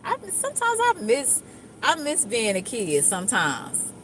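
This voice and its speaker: A woman's voice: a little high, wildly swooping pitch, a little slow, a bit bright. A middle-aged woman with a Dallas accent, chatting in a rambling, laid-back way with lots of fillers and restarts. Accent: Dallas, Texas. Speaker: vlogger. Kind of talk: casual chat while driving. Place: car. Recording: faint vehicle noise.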